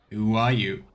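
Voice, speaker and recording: A man playing through a fantasy game as a streamer, reading dialogue aloud and commenting casually. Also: fairly low pitch. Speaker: streamer, let's player.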